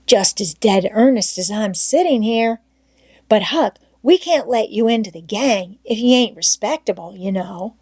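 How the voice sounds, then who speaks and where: A woman voicing an excitable, scheming boy gang leader in a storybook, emphatic and persuasive. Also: medium pitch, a little slow, slightly rough, no echo, clean studio sound. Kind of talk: storybook character voice in audiobook reading.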